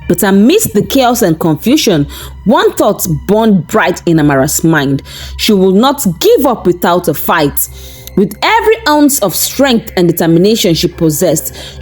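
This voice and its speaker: A woman's voice: mid-range voice, a little mellow. A woman narrating a scripted folktale in a dramatic, suspense-building storyteller's delivery.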